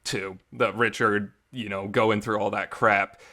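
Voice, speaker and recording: A man's voice: fairly low pitch, steady tone, unhurried, bright, forceful tone. A man giving a casual, unscripted review as host, talking emotionally and emphatically with some mild swearing. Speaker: host of a visual novel review show. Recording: reverberant amateur home recording.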